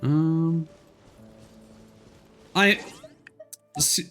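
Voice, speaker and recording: A man doing casual, chatty live game commentary, quick to react out loud. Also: on the high side, soft background music. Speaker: game livestreamer, host.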